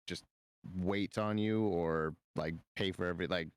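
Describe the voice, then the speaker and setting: A man's voice: sits low, steady tone, unhurried, clear, resonant tone, no room sound. A man in his thirties joking sarcastically in a casual voice chat with friends. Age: 30s. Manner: sarcastic, teasing.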